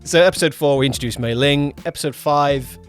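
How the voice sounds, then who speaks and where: A man's voice: a little high, brisk, soft background music. A man co-hosting a podcast as its producer, chatting casually and explaining things with frequent backtracking. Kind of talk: casual chat, project update.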